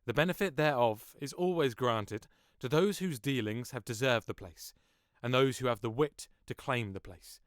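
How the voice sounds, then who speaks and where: A man's voice: medium pitch, moderate pace, hardly any pauses, no echo, studio quality, lightly acted. A man playing a commanding, scheming nobleman, speaking persuasive, declamatory verse in a Shakespearean audio drama. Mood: scornful, insistent. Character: commanding, scheming duke, smoothly argumentative nobleman.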